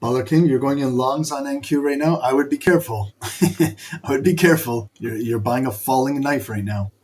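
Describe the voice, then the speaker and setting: A man's voice: mid-range voice, moderate pace, darkish tone. A man who is losing his voice, hosting a live trading stream and coaching viewers in short, repeated bursts while he points at a chart.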